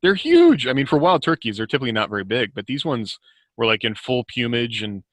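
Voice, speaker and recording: A man's voice: medium pitch, big pitch swings, brisk, dry recording. An American man chatting casually and telling anecdotes as a podcast guest, informal and often chuckling as he talks.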